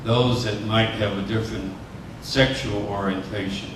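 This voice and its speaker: A man's voice: lowish voice, fairly even intonation, a little slow. A man on an interfaith panel speaking earnestly and steadily. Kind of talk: unscripted panel discussion. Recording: echoey hall from far off, noisy.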